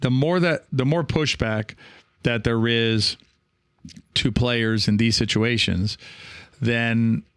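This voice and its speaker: A man hosting a solo podcast in a reflective, halting way, often correcting himself and checking in with the listener. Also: mid-range voice, fairly even intonation, clear, resonant tone, no echo, clean studio sound. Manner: halting, self-correcting, often seeking agreement with "right?". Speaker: podcast host.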